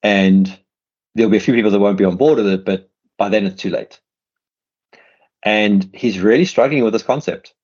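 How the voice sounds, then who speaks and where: A man's voice: lowish voice, normal speed, pauses often, darkish tone, lo-fi sound. A man with a South African accent hosting an interview, rambling in casual chat with many restarts and repeated words. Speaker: podcast host.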